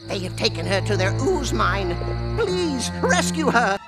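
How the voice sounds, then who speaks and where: A man's voice: high voice, big pitch swings, measured pace. A man voicing a wise old creature guide in a video game, grave, urgent and portentous. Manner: portentous, storytelling. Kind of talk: video game cutscene acting. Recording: music behind the voice.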